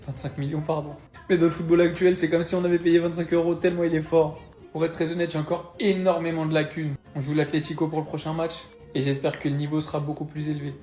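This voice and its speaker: A man's voice: fairly high pitch, little pitch movement, fast talker, mellow, roomy sound, faint music behind. A man giving a playful, cocky football commentary in a casual video, as a Barça supporter.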